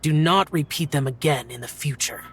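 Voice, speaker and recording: A man's voice: a little high, animated, normal speed, vocal fry, a little hiss or hum. A man voicing a stern, aloof young immortal guardian in an anime-style video game, terse, formal and admonishing. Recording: faint background ambience.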